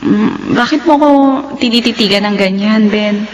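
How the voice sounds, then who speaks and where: A woman plays a young woman deeply in love and easily hurt, in a radio love drama. Her voice sits at an ordinary mid pitch, and she draws out her words with tender emotion. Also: normal speed, a little hiss or hum, close to an everyday voice. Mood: playful, puzzled. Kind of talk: radio drama acting in Filipino love story.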